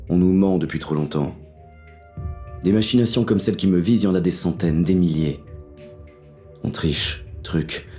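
Deep-voiced male narrator, dark and mellow tone, grim and world-weary, light music underneath. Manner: weary, grim inner monologue. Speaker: narrator voicing the inspector. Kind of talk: first-person audio drama narration.

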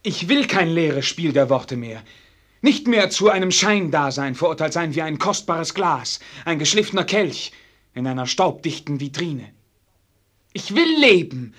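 A man's voice: on the high side, sing-song, measured pace, fairly bright tone, full character voice. A young man acting an ardent, restless, lovestruck prince in a German radio play, with formal, poetic diction. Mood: impassioned.

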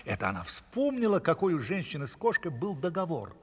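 A man telling a children's fairy tale as an expressive, theatrical narrator. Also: on the high side, fairly quick, husky, big-room sound. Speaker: storyteller, narrator.

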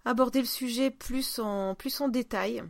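A woman's voice: on the high side, unhurried, gravelly, no room sound. A woman hosting a podcast in a casual, informal and playful conversational style. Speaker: podcast host.

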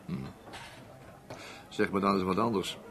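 A lowish voice for a sober man of few words in a radio play. He is probing and sceptical, with a terse, questioning delivery. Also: fairly even intonation, unhurried, a bit bright. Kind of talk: naturalistic Dutch radio play acting.

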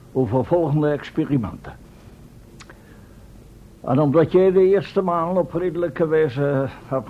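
An actor playing an authoritative, impatient professor in a radio drama, speaking in a commanding, curt manner. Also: male voice. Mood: businesslike. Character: authoritative, impatient male professor leading time-travel experiments. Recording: dull, rumbly, noisy old radio recording.

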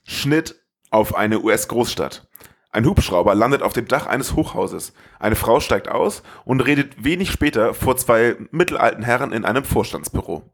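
A man's voice: mid-range voice, lively intonation, moderate pace, vocal fry. A man co-hosting a podcast, narrating a scripted film summary with playful asides. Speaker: podcast co-host.

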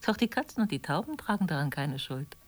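A pensive woman with quiet reproach for an old lover. Her voice is lowish and husky, often sinking toward a whisper, with lively swings in pitch. It is intimate radio drama, pointed and softly ironic. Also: a little fast. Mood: pensive, reproachful. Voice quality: husky, breathy, often dropping to near whisper. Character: wistful, gently reproachful woman, former lover of a married man. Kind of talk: German radio play dialogue.

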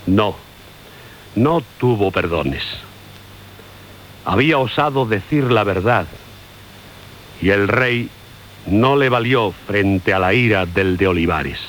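Solemn male radio narrator, low-pitched and unhurried, declamatory literary script, faint hiss of an old broadcast. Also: animated, a bit bright. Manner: grave, declamatory. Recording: hissy old radio recording.

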